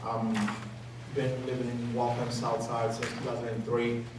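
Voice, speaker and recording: Flat-pitched man in a very echoey hall. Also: normal speed. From Peru. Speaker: city council candidate. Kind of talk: informal campaign speech. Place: large indoor public forum room. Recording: echoey hall, distant mic, background noise.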